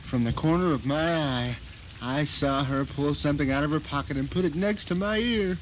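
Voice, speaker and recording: A man's voice: on the high side, normal speed, natural voice. A man playing a self-satisfied novelist trapped at home, narrating his grim ordeal dramatically in an audio drama. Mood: smug, cunning. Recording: noisy 1990 radio drama recording.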